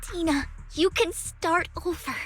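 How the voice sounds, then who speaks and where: An actress playing a gentle, earnest young woman with an imploring manner in a dramatic video game scene. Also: extremely high pitch, a little slow. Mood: tender, pleading. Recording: music behind the voice.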